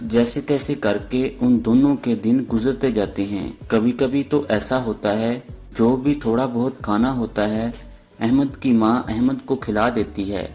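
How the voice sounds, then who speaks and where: A man's voice: medium pitch, steady tone, unhurried, dark tone, slightly rough. Male storyteller over faint music. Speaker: storyteller, narrator. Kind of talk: scripted moral story narration.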